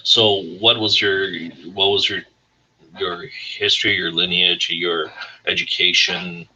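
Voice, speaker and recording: A man with a husky voice hosting a casual interview show over an online call. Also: low voice, flat delivery, slow pace, pushed and bright, lo-fi sound. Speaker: host of a weekly music career show.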